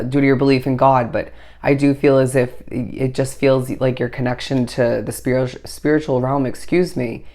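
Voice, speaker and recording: A tarot reader talking straight to camera, hoarse from a recently lost voice. The delivery meanders through intuitive hunches and keeps turning back to the listener with reassurance. The pitch sits right in the middle. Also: a little mellow. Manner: reassuring, addresses the listener directly. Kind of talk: solo tarot reading to camera.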